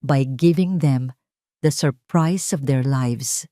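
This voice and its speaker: A woman with a Filipino accent voicing a determined, protective friend in an audio drama, calm but emphatic. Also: very deep voice, slow, no echo, studio quality. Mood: quietly scheming.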